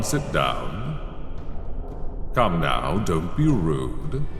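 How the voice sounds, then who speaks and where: A man playing a middle-aged, menacing headmaster of a magic school in a dark fantasy audio roleplay, haughty, mocking and theatrically polite. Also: sits low, full character voice. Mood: stern, threatening. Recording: echoey hall with long reverb.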